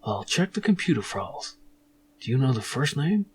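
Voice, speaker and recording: A man's voice: medium pitch, very animated, moderate pace, a little hiss or hum, lightly acted. A man voicing a matey, eager insurance salesman in an adventure game, tagging his lines with a questioning turn. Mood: officious, helpful. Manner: matey, tags sentences with questioning "yeah". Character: chatty, eager male insurance salesman named Billy Anker.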